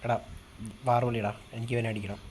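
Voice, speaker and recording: A man bantering casually as he live-streams a role-play game, teasing and confrontational, often repeating words in quick bursts. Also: medium pitch, flat delivery, noisy background.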